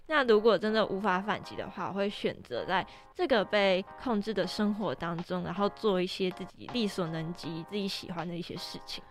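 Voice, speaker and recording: A woman's voice: mid-range voice, expressive, brisk, few pauses, soft background music. A woman co-hosting a podcast, discussing casually. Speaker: podcast co-host.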